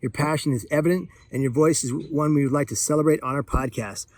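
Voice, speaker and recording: A man with a fairly high-set voice talks in a casual vlog style. His delivery is exuberant and playful, with emphatic phrasing throughout. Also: quick pace, a little mellow, no room sound. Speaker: vlogger. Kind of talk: excited casual vlog chat while opening a parcel.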